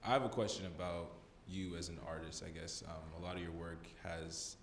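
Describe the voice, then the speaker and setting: A man's voice: low-pitched, fairly even intonation, moderate pace, echoey room, slight background noise. A man in the audience hesitantly asking the artist a question, recorded from far off in a gallery. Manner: hesitant, with "um" and "I guess".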